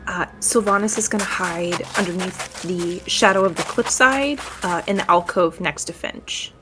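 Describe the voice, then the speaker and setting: A woman's voice: on the low side, moderate pace, background noise. A woman playing a tabletop roleplaying game, describing her character's actions in the third person. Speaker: player.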